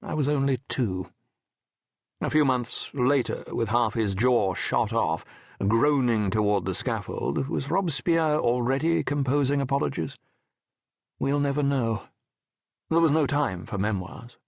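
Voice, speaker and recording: A man narrating an audiobook as a wry, reflective French medical professor telling his memoir in a measured, erudite, wistful way. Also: medium pitch, lively intonation, gravelly, no echo, clean studio sound. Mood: wry, musing. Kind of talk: scripted first-person literary narration.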